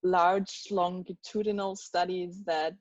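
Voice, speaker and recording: A woman's voice: fairly low pitch, little pitch movement, slow, no echo. A young woman from Germany asking a question over a video call, chatty and casual with lots of fillers and laughs. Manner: chatty, laughing, full of likes and ums. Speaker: audience member asking a question. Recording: dull, dry video-call audio.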